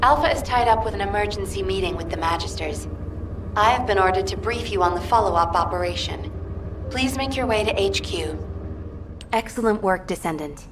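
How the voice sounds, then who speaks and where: A woman playing a dutiful military operations officer in a video game, speaking in a formal, measured, earnest way. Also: mid-range voice, animated, noisy background, lightly acted. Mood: formal, composed. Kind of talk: video game mission briefing.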